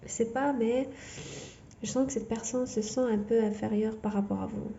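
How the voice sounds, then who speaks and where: A woman whose first language is not French, giving a tarot reading in a halting way full of fillers and restarts. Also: on the high side, steady tone, vocal fry, dark tone, highs cut off, slight background noise. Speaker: tarot reader.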